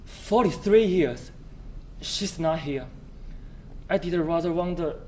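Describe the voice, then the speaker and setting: A man on stage playing a polite, hesitant older widower with a halting, formal, understated manner. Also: fairly high pitch, lively intonation, a little mellow. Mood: puzzled, reflective. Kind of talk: stage play acting. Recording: roomy stage recording, faint noise.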